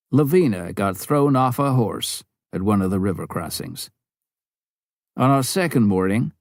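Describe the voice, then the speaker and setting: A man's voice: fairly low pitch, darkish tone, no room sound, studio quality. A man reading a memoir aloud as scripted audiobook narration.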